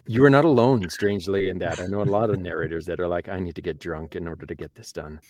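Low-voiced curious man hosting a podcast. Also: fast talker, a little mellow. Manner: curious questioner, chuckling easily. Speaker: podcast host. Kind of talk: casual podcast chat.

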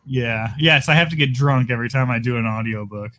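A man's voice: medium pitch, brisk, sharp tone, dry recording. A man with a mid-range voice co-hosts a podcast. He speaks wryly and pokes fun at himself. The recording is dry. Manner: self-deprecating, wry. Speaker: podcast co-host. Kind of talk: casual podcast chat.

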